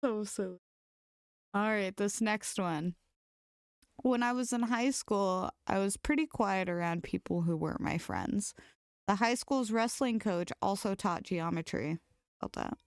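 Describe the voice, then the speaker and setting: A woman's voice: medium pitch, measured pace, smooth, dry recording, clean studio sound. A woman co-hosting a podcast, casually chatting and reading funny stories aloud. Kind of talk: casual comedic chat and story reading.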